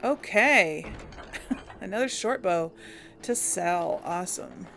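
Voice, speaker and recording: A woman with a creaky voice giving casual, easygoing game commentary, musing to herself as she plays. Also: lowish voice, lively intonation, slow, fairly bright tone, a little hiss or hum. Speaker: let's play host. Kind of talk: casual game commentary with light role play. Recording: faint background game ambience.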